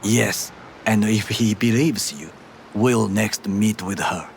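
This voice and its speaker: An actor playing a grave, honourable corporate warrior in a video game, with a Japanese accent, a breathy hush and formal, deliberate phrasing. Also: male voice, fairly low pitch, slight background noise. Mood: resolute. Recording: music behind the dialogue.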